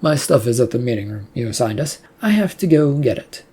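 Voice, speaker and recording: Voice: mid-range voice, sing-song, brisk, warm tone, husky. Blunt, defiant male researcher, voiced by a reader. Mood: flippant. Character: defiant, smirking male researcher challenging his boss.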